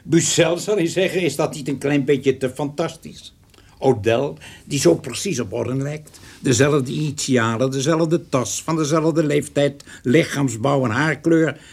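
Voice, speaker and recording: An actor in a Dutch radio play playing a shrewd, patient narcotics investigator who speaks formally and deliberately. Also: male voice, a little high, creaky, breathy edge, exaggerated voice. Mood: measured, probing. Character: shrewd, patient male police narcotics investigator, calmly coercive. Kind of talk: Dutch radio drama acting.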